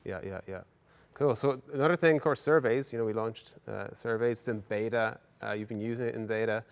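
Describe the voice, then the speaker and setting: A man with a fairly deep voice talks briskly as an interviewer for a software company. His delivery is casual and encouraging, affirming the guest in a business conversation. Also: creaky, smooth.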